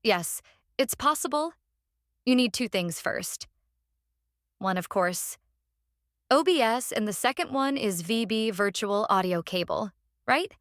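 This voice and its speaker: A woman hosting a casual step-by-step software tutorial, instructing plainly and often checking in with the listener. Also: on the high side, expressive, a little slow, long pauses, no room sound, studio quality. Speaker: tutorial host.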